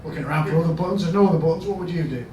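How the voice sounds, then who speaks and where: A man with a Yorkshire accent giving a storytelling conference talk as a health service chief executive, asking the audience questions and rising to loud emphatic bursts. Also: on the high side, rapid-fire, a crowd in the background. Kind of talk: storytelling keynote speech. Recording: echoey hall, mic at a distance, background noise.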